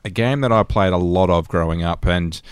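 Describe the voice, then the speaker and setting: A man's voice: sits low, moderate pace, smooth, no room sound, clean studio sound. A man in his thirties with an Australian accent, co-hosting a podcast and telling stories in a casual, chatty way. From rural New South Wales, Australia. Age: 30s. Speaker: podcast co-host. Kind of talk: casual storytelling chat.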